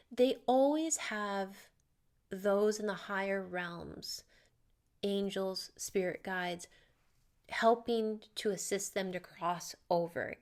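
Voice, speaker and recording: A woman working as a psychic medium, talking casually through viewer questions in a loose, chatty way with many fillers and asides. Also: fairly low pitch.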